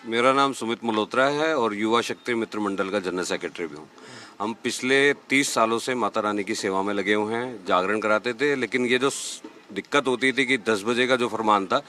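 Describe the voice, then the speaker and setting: A man's voice: mid-range voice, bright, forceful tone. A man, a community organiser, giving spoken answers in a news interview.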